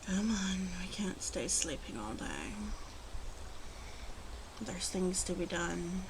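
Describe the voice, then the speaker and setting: A woman playing a protective, bossy female werewolf in a soft-spoken ASMR roleplay, murmuring and often slipping into whispers. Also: on the low side, sing-song, takes it slowly, heavily acted. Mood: calm, matter-of-fact. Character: female werewolf, gently protective, gruff, bossy toward humans. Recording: clearly audible ambient background noise.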